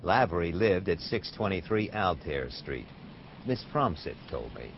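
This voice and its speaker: An actor playing a hard-boiled, wisecracking American detective, laconic and dry, in dialogue and noir narration for a radio drama. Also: male voice, deep voice, big pitch swings, measured pace, vocal fry, dull audio, slight background noise, full character voice. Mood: wry narration.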